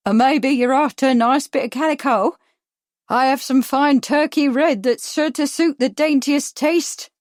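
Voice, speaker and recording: A woman narrator acts out a grey-haired landlady from a storybook, keeping a shabby shop. Her voice is high and harsh, with Cockney vowels and some Irish underneath, and she talks in folksy, salty patter. The sound is close and dry. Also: measured pace, no room sound. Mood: chirpy, eager. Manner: chirpy, folksy sales patter.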